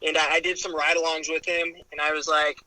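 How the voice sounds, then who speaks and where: A man in his late twenties talks in a relaxed, easygoing way, dropping "dude" and "man" into nearly every sentence. His voice is very bright and sits a little high for a man. He sounds like a police officer chatting casually on a podcast. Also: quick pace, dry recording, rough recording. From Arizona. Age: 20s. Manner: laid-back, says dude and man constantly. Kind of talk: casual chat.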